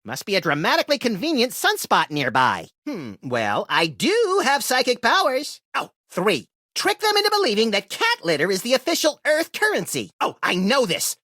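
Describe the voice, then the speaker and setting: A man's voice: very high-pitched, huge pitch swings, normal speed, few pauses, pushed and bright, no echo, studio quality, exaggerated voice. A man voicing a manic, mischievous rabbit-like creature in a comedic cartoon video game, with gleeful, wisecracking delivery.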